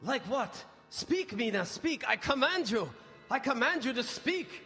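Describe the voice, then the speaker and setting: A man's voice: very high voice, lively intonation, measured pace, full character voice. A man playing an intense, grave older professor who hunts vampires in a live radio horror drama. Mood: urgent, commanding. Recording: reverberant hall, live music behind.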